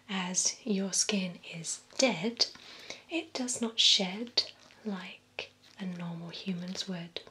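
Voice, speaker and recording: A young woman playing a calm vampire salon attendant in a soft, breathy, gentle ASMR whisper. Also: mid-range voice. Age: young adult. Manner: slow, gentle, deliberate delivery. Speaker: ASMR performer. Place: small indoor room with bookshelves.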